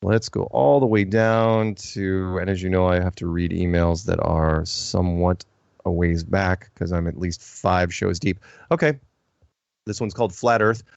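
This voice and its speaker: A man's voice: low voice, steady tone, a little slow, creaky, no echo. A man hosting a live call-in radio show, chatting casually with dry, self-deprecating humour. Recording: dull, lo-fi broadcast sound.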